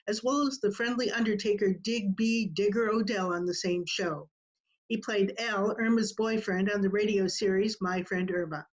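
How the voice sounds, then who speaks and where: A woman announcer reading a scripted introduction to an old-time radio show. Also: mid-range voice. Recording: dull lo-fi video-call audio.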